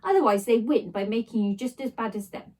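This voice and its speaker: A woman's voice: a little high, a little fast, mellow, lightly acted. A woman voicing a kind, hopeful mother who reassures her young child in a children's story. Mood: firm, reassuring.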